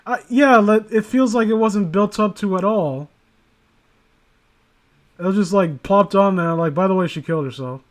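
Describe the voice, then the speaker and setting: Male story-stream host, high voice, wry and crude, loose conversational banter. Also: normal speed, pauses often. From New York City. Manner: sarcastic, joking, foul-mouthed. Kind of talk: casual banter mixed with reading aloud.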